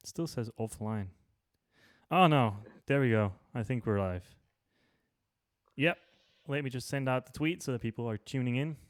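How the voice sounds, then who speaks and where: A man's voice: medium pitch, moderate pace, pauses often. A man hosting a casual live-stream interview, chatty and informal with offhand swearing. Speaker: host.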